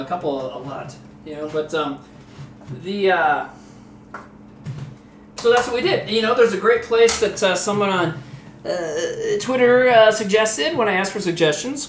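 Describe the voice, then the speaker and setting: A man in his early forties with a fairly high voice rambles through a casual story, laughing and swearing as he goes. He sounds a little tipsy from rum. The room around him is echoey with faint noise behind. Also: a bit bright. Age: 40s. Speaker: comedian streaming while cooking.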